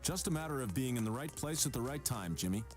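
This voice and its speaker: An actor playing a confident, upright superhero in a video game, with a steady, wry, stern manner. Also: male voice, medium pitch, fast talker, faint music behind, lightly acted. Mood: modest.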